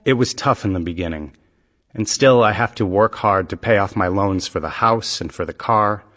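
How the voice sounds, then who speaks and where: Man, lower voice, measured scripted lesson reads; long hall reverb. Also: fairly bright tone. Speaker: dialogue reader in English lessons. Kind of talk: scripted practice dialogue.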